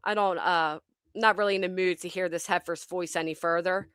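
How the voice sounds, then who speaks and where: A woman's voice: on the low side, steady tone, brisk, fairly bright tone, clear, resonant tone, no room sound, studio quality. A woman hosting a commentary stream, talking bluntly and scornfully and swearing freely. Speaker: commentary channel host. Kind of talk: casual reaction commentary.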